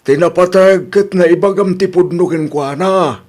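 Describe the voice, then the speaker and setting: Older father, male actor, high-pitched voice, patient and advising, radio drama. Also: normal speed, darkish tone. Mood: gently advising. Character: older father, a calm, advising Filipino grandfather. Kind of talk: Ilocano radio drama acting.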